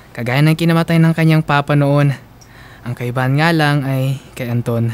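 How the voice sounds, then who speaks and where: A man's voice: on the high side, a little hiss or hum. A man reading a scripted first-person radio story in a mournful, sentimental manner. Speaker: radio drama narrator.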